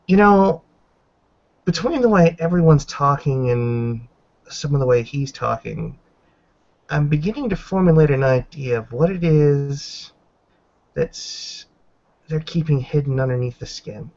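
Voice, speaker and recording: A dull, lo-fi recording of a man playing a wary female werewolf in a tabletop game. She speaks formally and deliberately, weighing her words with blunt caution. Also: fairly high pitch, lively intonation, darkish tone. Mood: measured, concerned.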